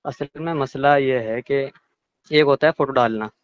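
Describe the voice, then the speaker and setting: A man in a private phone conversation, explaining his points in a polite, measured way. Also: medium pitch. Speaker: religious writer receiving a call. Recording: muffled, dull phone-call recording.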